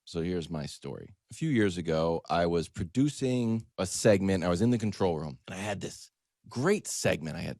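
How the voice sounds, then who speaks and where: A man with a lowish voice hosts a sports talk show as a comic storyteller. His pitch swings widely as he stresses his points and acts out little exchanges. The sound is dry and close. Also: normal speed, dry recording. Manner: emphatic, animated, acts out quoted exchanges. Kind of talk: conversational comedic storytelling monologue.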